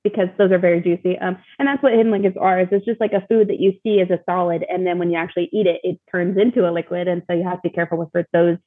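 A woman's voice: fairly low pitch, fairly even intonation, racing, few pauses, phone-quality sound. A woman guest chatting casually and informally about her own experience, with a self-deprecating touch, over thin call audio. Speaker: interview guest, mother of young child. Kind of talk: casual chat.

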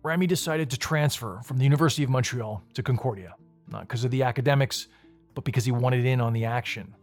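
A man's voice: mid-range voice, fast, a little mellow, soft background music. Man narrating a documentary podcast. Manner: conversational storytelling.

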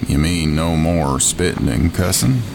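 A laconic man photographing wildlife in the winter wilds. His voice is low and gravelly, his delivery calm and understated in a naturalistic audio drama style. Outdoor noise is clearly heard behind him. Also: a little slow, exaggerated voice. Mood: calm, practical.

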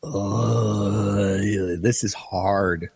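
A man's voice: fairly low pitch, fairly even intonation, drawn-out words, creaky, a little mellow, husky, no echo. A man co-hosting a fan podcast, chatty and upbeat, often chuckling. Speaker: podcast co-host. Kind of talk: casual podcast chat.

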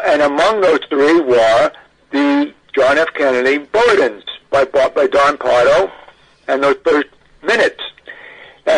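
A man's voice: a little high, fairly bright tone, low-quality recording. An older American man telling his story conversationally as an interview guest, stressing points emphatically. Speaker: interview guest, audio archivist.

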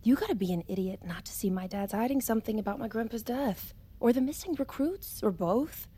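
An actress playing a defiant, suspicious young ex-soldier daughter, sarcastic and blunt, in a naturalistic audio drama. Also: female voice, on the high side, animated, brisk, warm tone, breathy edge. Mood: hushed, insistent. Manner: sarcastic, foul-mouthed, blunt.

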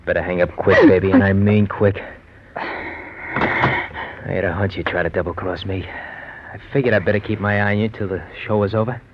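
A man's voice: low-pitched, fairly even intonation, moderate pace, natural voice. An actor playing a controlling, suspicious gangster with slangy tough-guy delivery in a 1940s radio drama. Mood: coldly menacing. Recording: muffled, noisy old radio recording.

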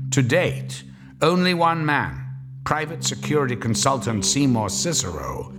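A man narrating a horror radio drama from a script, wry and dramatic, with deliberate pauses. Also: medium pitch. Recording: loud music bed.

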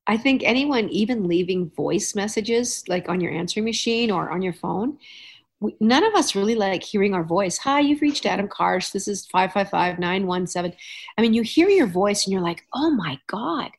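A middle-aged woman from Canada hosting a relaxed podcast chat. She spins anecdotes with animated asides and easy laughter, at a medium pitch. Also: normal speed, gravelly. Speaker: podcast host. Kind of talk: casual chat.

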